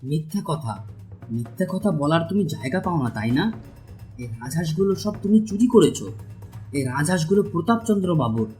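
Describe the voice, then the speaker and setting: A man's voice: fairly high pitch, lively intonation, brisk, warm tone, soft background music, close to an everyday voice. A man voicing an honest, principled village man in a cartoon, earnest and insistent. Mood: accusing. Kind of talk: cartoon voice acting.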